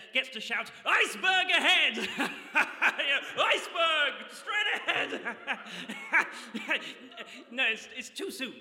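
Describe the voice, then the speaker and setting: An actor playing a bumbling, nervously jovial ship's captain in a live comedy radio play, stammering through formal announcements. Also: male voice, sits high, pushed and bright, heavily acted. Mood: giggly, flustered. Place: stage in a hall before a live audience. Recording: echoey hall, live stage recording.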